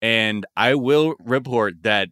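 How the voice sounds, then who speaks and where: A man in his thirties in a casual podcast chat, animated and profane, often breaking into laughs. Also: mid-range voice, slow pace, clean tone, no room sound, clean studio sound. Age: 30s.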